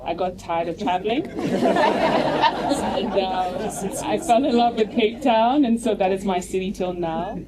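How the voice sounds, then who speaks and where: A woman from Nigeria introducing herself on a panel as a content creator, telling her story in a relaxed, personal way. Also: medium pitch, a little slow, over a noisy crowd. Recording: clear background noise on a live panel mic.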